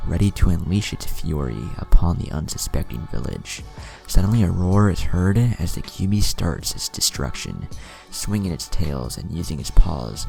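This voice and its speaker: A man with a gravelly voice reading a scripted fan fiction story as narrator. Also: sits low, mellow.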